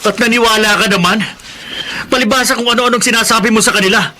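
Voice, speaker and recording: An actor playing an exasperated, long-suffering husband in a Filipino radio melodrama. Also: male voice, very high voice, steady tone, fast talker, sharp tone, exaggerated voice. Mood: exasperated, angry.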